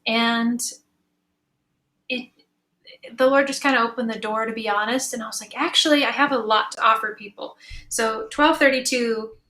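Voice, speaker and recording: A woman's voice: fairly high pitch, fairly even intonation, moderate pace, bright, forceful tone. A woman speaking casually and earnestly on a panel as an audio drama creator, with an encouraging manner.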